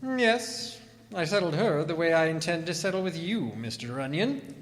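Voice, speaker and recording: Man acting a high-voiced, prim, menacing husband. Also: lively intonation, normal speed, lightly acted. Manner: prim, formal, stiffly polite. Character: timid-seeming husband turned menacing, formal gun-holding killer. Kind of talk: old-time radio detective drama. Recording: reverberant hall.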